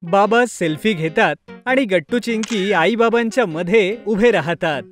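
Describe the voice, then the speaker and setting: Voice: medium pitch, moderate pace. Children's story narrator over music. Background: background music.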